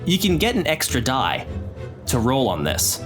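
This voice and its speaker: A male game master with a medium-pitched voice talks players through a tabletop game. He is conversational and explanatory, and music plays clearly behind him. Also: huge pitch swings, normal speed.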